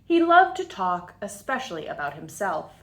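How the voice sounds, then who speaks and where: A woman reading a storybook aloud to children, expressive and deliberate, with little asides to the listeners. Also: on the low side. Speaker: children's story time reader.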